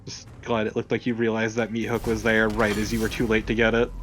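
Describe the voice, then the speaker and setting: A man's voice: on the low side, monotone, fast talker, slight background noise. A man giving casual, sarcastic banter as co-commentator on a gaming video, swearing freely. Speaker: co-commentator on a let's play. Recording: game music behind the voice. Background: video game music.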